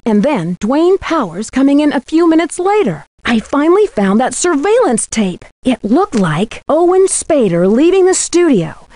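A woman voicing a bright, inquisitive teenage girl detective in a video game, with an American accent and clear, earnest, conversational delivery. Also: high-pitched, big pitch swings, normal speed, no echo. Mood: earnest, intrigued. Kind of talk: scripted video game voice acting.

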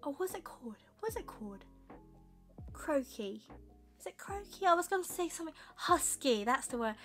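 A young woman with a slightly husky voice from a tickly throat, rambling casually through a manga haul in her bedroom. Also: high voice, very animated, fairly bright tone, faint music behind. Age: young adult. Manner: rambling, chatty, quick asides. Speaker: manga vlogger. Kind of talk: casual chatty haul vlog. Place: bedroom with manga shelves, indoors.

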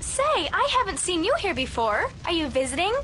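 Perky, very high-voiced girl. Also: very animated, fairly quick, heavily acted. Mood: friendly. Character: bright, friendly, confident young girl. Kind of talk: anime game cutscene acting. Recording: loud music underneath. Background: loud music.

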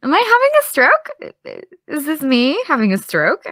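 A woman's voice: high voice, huge pitch swings, moderate pace, fairly bright tone, no echo, full character voice. A young actress playing an exasperated, defensive young woman with dry comebacks in an audio drama. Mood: baffled. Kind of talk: audio drama dialogue of a video call.

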